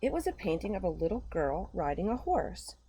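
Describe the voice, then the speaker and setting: A woman reading a picture book aloud to young children in an expressive, simple storytelling manner. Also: mid-range voice, fairly quick, slight background noise, low-quality recording. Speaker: children's storytime host, gymnastics coach.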